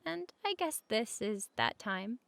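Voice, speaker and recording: Friendly, reassuring female roommate, played by a woman for comfort audio: casual, gentle, frequent vocal fry, very dry close sound. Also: on the high side, very animated, a little slow, no echo, full character voice. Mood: relaxed, chatty. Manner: casual, gentle, reassuring, speaking at regular volume. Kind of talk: conversational comfort audio roleplay.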